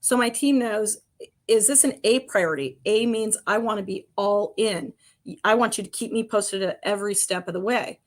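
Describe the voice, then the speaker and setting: A woman guest on a construction podcast, with a commanding voice, speaking emphatically and laughing lightly between points. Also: fairly high pitch. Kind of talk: podcast interview answers.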